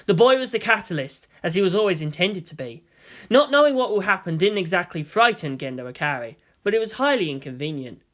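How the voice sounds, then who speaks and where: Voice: medium pitch, animated, fairly quick, fairly bright tone. A narrator reading fan fiction aloud as scripted, dramatic narration.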